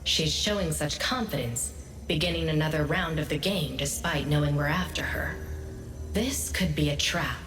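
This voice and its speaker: A woman playing a composed, cautious and authoritative commander in a video game, speaking in a measured, serious way. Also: deep voice, fairly bright tone. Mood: grave, measured. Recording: echoey reverberant hall, distant, faint music.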